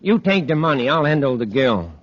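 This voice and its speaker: An actor playing a shrewd, commanding gang boss of space crooks, curt and bossy, in a 1950s radio adventure serial. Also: male voice, medium pitch, very animated, brisk, no echo. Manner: curt, bossy tough talk. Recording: dull, noisy old radio recording.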